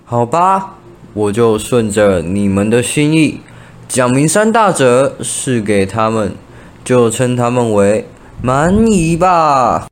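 A man's voice: mid-range voice, sing-song, slow pace. A young man with a Taiwanese Mandarin accent playing a doting, indecisive king in a student radio drama. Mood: resigned. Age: young adult. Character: old-time king, doting father, indecisive ruler. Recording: music bed behind voice.

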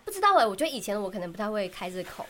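A woman's voice: medium pitch, big pitch swings, rapid-fire, pushed and bright. A woman with a Taiwanese accent chatting casually and effusively as a podcast co-host, often repeating her agreement. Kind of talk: casual chat.

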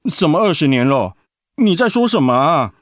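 A man's voice: fairly high pitch, very animated, normal speed, no echo, studio quality. A man playing a doting, practical retired husband and grandfather with a Taiwanese Mandarin accent in a radio drama. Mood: puzzled, admiring.

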